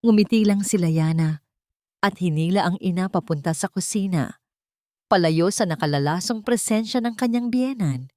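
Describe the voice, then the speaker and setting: Lowish-voiced woman narrating a dramatic story. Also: normal speed, dark tone, no room sound, clean studio sound.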